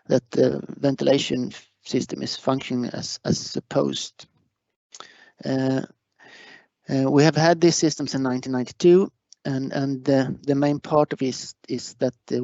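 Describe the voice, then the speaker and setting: A man with a Swedish accent giving a technical online presentation, halting and hesitant, often repeating words as he goes. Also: medium pitch, vocal fry, a little mellow, no room sound. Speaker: conference presenter. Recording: muffled online webinar audio.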